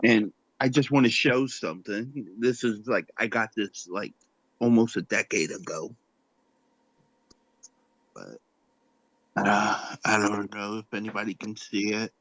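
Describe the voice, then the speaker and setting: A man in his forties in a casual group chat, speaking haltingly with many fillers. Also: on the low side, a bit bright, no echo, lo-fi sound. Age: 40s.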